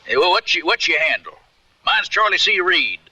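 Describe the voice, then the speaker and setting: An actor playing a friendly, folksy American cowboy with a breezy manner in an Old West radio drama. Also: male voice, sits high, big pitch swings, moderate pace, pushed and bright, husky, no echo, exaggerated voice. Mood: hearty, welcoming. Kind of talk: 1970s radio drama acting. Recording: noisy vintage radio recording.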